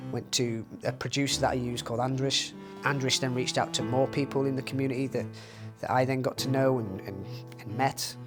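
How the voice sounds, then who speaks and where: A young man, a singer-songwriter speaking softly and reflectively in a documentary interview. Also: medium pitch. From Northwest England. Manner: soft-spoken, reflective, grieving. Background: guitar music.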